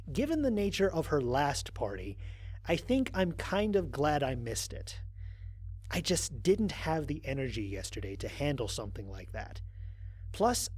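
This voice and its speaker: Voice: mid-range voice, breathy edge, rough recording. An actor playing a wry, exasperated astronaut stranded on an alien world, recording mission logs in an audio drama with dry sarcasm. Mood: sardonic, bemused. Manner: sardonic, dry, expressive emphasis.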